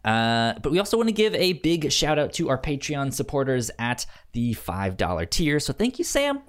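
A man's voice: mid-range voice, huge pitch swings, normal speed. A man co-hosting a podcast in casual chat, stammering and often repeating words. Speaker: podcast co-host.

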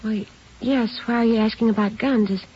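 A woman's voice: a little high, steady tone, a little fast, breathy edge. An actress playing a worried but composed mother in her forties in an old radio drama, on a dull, hissy recording. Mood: anxious. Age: 40s. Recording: dull vintage radio recording with faint hiss.

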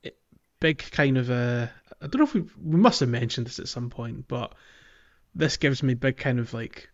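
A man's voice: mid-range voice, moderate pace. A man co-hosting a gaming podcast, chatting casually and often searching for his words. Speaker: podcast co-host. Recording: muffled lo-fi mic, music behind. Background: background music.

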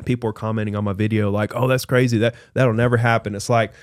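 A man who works as a real estate agent, talking in an animated, chatty panel debate with lots of fillers. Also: on the low side, quick pace, no echo, clean studio sound.

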